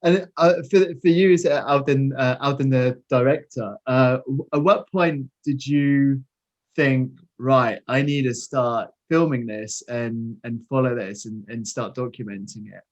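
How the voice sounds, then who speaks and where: A man's voice: mid-range voice, steady tone, a little slow, highs cut off. Male host, 40s, middle voice, eager and giggly, restarts questions. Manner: enthusiastic, laughs often, stumbling restarts in questions. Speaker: interviewer host. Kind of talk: casual video-call interview.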